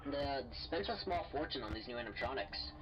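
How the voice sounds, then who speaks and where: A man's voice: mid-range voice, lively intonation, fast, lightly acted. A man playing an affable but evasive company phone guide in a horror game, chatty, hesitant and falsely reassuring. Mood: upbeat, reassuring. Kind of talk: recorded orientation call, game acting. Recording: dull, noisy phone message sound.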